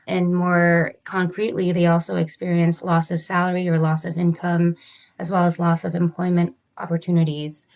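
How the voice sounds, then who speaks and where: A woman's voice: on the low side, flat delivery, moderate pace, a little mellow. A woman physician and researcher, interviewed as a guest, explaining her study in a careful, methodical and formal way. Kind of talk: measured academic explanation.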